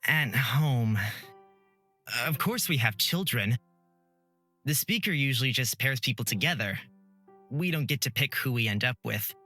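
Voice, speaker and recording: A bright, lively young man, as a woman puts him on, naive and curious about the world. He talks with puzzled sincerity, like a sheltered villager in a visual novel, and his pitch moves around a lot. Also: measured pace, long pauses, faint music behind, full character voice. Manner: puzzled, earnest questioning. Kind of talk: visual novel character read aloud.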